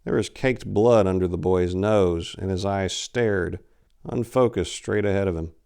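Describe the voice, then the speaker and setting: A man in his forties giving a theatrical, deliberate dramatic reading of a horror story, with emphatic swells and hushed drops. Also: low-pitched, vocal fry, clear, resonant tone. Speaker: author reading a short story. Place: indoor room lined with bookshelves. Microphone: lapel mic.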